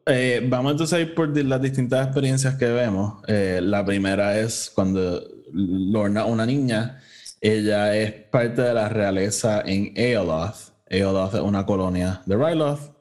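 A man with a fairly deep voice and a Puerto Rican accent talks as a podcast co-host. His style is casual and unpolished, mixing English into his Spanish and cursing freely. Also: measured pace, a little mellow. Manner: informal, swearing, code-switches between Spanish and English. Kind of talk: casual conversational review.

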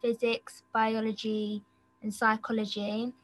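A woman's voice: a little high, monotone, unhurried, slight background noise, rough recording. A student in her late teens answering interview questions casually and a little hesitantly. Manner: hesitant, says "so" and "like" a lot.

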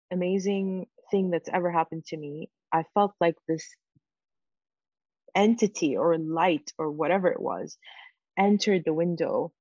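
A woman with a fairly low voice tells her story in a close, dry recording with a muffled, lo-fi edge. Her first language is Farsi. She talks reflectively and hedges often with "sort of" and "you know". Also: darkish tone, no echo. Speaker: guest. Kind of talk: personal story in an interview.

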